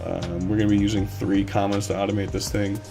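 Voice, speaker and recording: A man with a slightly hoarse voice, streaming a casual coding tutorial from his desk and rambling with lots of fillers. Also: on the low side, fast talker, music in the background. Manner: relaxed, rambling with many fillers. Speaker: livestream coding instructor.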